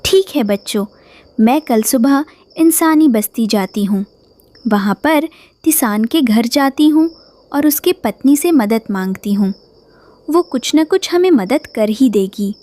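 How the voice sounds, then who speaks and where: A woman voicing a gentle, worried mother bird in a cartoon, speaking politely and earnestly. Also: a little high, expressive, unhurried, no echo. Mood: reassuring. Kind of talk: Hindi cartoon dubbing.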